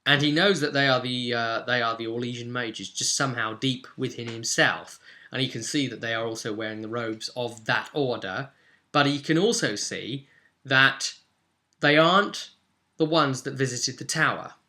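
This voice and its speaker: A man recapping a tabletop roleplay session as game master, telling it informally with dramatic emphasis and suspenseful pauses. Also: mid-range voice, sharp tone.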